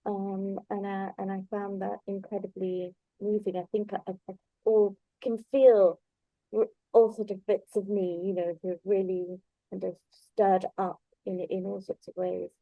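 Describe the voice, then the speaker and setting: Thoughtful woman with hesitant, halting phrasing. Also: medium pitch, little pitch movement, dry recording, phone-quality sound. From Bangladesh in early childhood, then moved abroad. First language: Bengali. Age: middle-aged or older. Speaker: invited speaker at a book launch. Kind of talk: reflective conversational talk.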